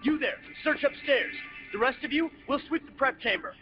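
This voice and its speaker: An actor playing a brisk, officious soldier leading a search in a video game, clipped and official. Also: male voice, very high voice. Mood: urgent, commanding. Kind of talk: scripted video game voice acting. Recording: muffled lo-fi game audio with music.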